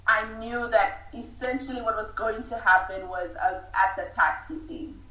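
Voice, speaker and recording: A woman's voice: medium pitch, moderate pace, pushed and bright. Woman, hard-to-place international English accent, distant mic, roomy echo, reflective interview guest, thinks aloud. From Uganda. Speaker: guest, film writer and director.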